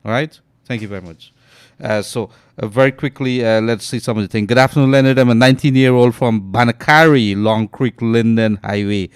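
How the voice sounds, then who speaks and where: A Guyanese man hosting a call-in radio show. He reads out listener messages and comments on them with loud emphasis. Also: mid-range voice, brisk, smooth, no echo, clean studio sound. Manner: loud and animated on air, trails off and murmurs when aside. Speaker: radio host. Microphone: studio mic.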